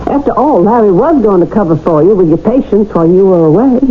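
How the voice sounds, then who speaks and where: An actress playing a devoted wife in a radio drama, affectionate and coaxing but insistent. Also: female voice, mid-range voice, lively intonation, fast talker, natural voice. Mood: affectionate, cosy. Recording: muffled, noisy old radio broadcast.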